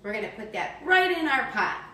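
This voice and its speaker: A woman's voice: fairly high pitch, very animated, fairly quick, bright, forceful tone. A woman giving a step-by-step cooking demonstration for young children, talking in a simple, encouraging, instructional way. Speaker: teacher demonstrating a recipe for children. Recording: distant mic, echoey room, faint noise.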